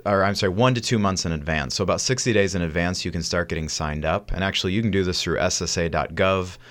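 A man's voice: sits low, a bit bright. A man co-hosting a call-in radio show, patiently and confidently explaining an answer to a caller. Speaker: radio show co-host, financial adviser.

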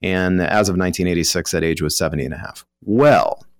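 Man, low voice, American accent, dry close sound, informal explainer, financial guest expert. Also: a little fast, no echo. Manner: explanatory, informal, voices hypothetical first-person examples. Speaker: retirement planning professional, guest expert. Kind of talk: conversational explaining of financial rules on a talk show.

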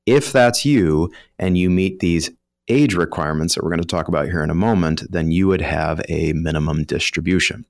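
A man's voice: low-pitched, moderate pace, vocal fry, no echo, clean studio sound. A man with an American accent, a financial professional explaining rules in an informal, conversational way as a guest expert. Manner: explanatory, informal, voices hypothetical first-person examples.

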